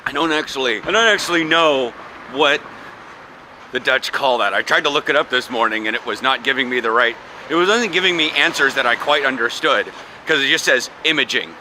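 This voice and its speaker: A man's voice: medium pitch, animated, fairly quick, pushed and bright, poor audio. Chatty man vlogging over street noise. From United States. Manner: chatty, rambling, laughs often. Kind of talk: casual vlog chat. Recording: clear traffic noise outdoors.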